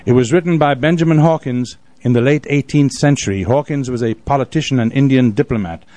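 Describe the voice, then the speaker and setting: A man's voice: medium pitch, a little fast, mellow, no room sound. A man hosting a live radio show in a casual, folksy and joking way from a studio.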